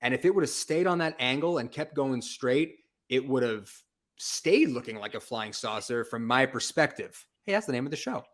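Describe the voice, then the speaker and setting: A man with a medium-pitched voice hosts a call-in show in a loose, conversational style. He talks in informal slang, leaning emphatically on his points like someone telling a story among friends. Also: expressive, moderate pace. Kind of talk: casual chat, storytelling.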